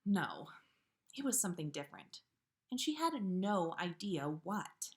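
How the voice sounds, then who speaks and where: A woman hosting a story livestream, speaking in an informal, expressive way as she chats and reads fiction aloud. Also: lowish voice, measured pace. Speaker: podcast host reading listener stories. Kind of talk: casual livestream chat, then storybook read-aloud.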